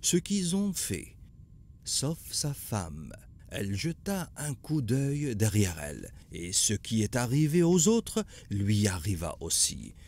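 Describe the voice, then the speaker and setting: A man's voice: fairly low pitch, wildly swooping pitch, takes it slowly, darkish tone, heavily acted. A man playing a kindly older grandfather who tells prophet stories in a cartoon, solemn and patient. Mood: grave, patient. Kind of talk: animated religious story narration.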